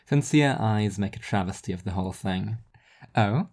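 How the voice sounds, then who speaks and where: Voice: deep voice, fairly quick, darkish tone. A narrator reading scripted first-person literary fiction in an introspective, wry manner.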